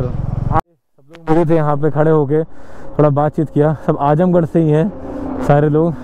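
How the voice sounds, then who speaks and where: A young man from North India narrating a solo motorcycle trip as a travel vlogger. He talks in a chatty, excitable way and keeps pointing out sights to his viewers while outdoors on the highway. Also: background noise. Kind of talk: casual travel vlog narration.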